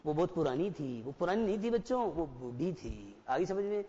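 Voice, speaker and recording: A man's voice: on the high side, big pitch swings, fairly quick, dark tone. A man in his forties teaching a class, who explains step by step and keeps asking the students questions. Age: 40s. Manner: mixes Hindi and English. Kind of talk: classroom lecture. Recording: dull broadcast audio with long reverb.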